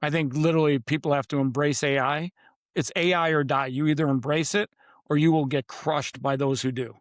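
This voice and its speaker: A man's voice: a little high, steady tone, fairly quick, no room sound, clean studio sound. A man who is a podcast guest and author, talking in a confident, emphatic way. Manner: emphatic, confident, repeats "literally". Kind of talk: casual podcast interview.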